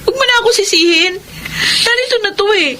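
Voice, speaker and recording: A woman's voice: extremely high pitch, fast talker, bright, forceful tone, a little hiss or hum. An actress playing a desperate, wronged young girlfriend in a radio drama, pleading and melodramatic. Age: young adult. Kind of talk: Filipino radio drama acting. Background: music.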